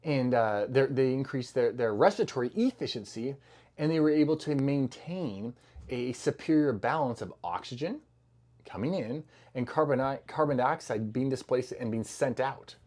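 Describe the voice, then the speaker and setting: A man's voice: mid-range voice, animated, moderate pace, darkish tone. Casual, chatty man talking health. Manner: loose, conversational, many fillers and restarts. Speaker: health educator, clinician. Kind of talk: casual educational talk to camera.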